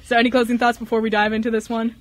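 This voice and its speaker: A woman chatting excitedly as host of a radio show, giggly, gushing and often repeating herself. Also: on the high side, racing. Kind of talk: excited casual chat.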